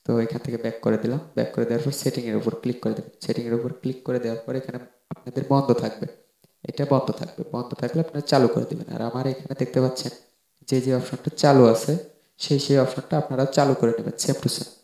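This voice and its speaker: Flat-toned man explaining in an echoey room. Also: medium pitch, racing, creaky. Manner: repetitive step-by-step explaining. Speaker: tech tutorial host. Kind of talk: informal screen-recorded how-to narration. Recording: roomy echoing voice-over.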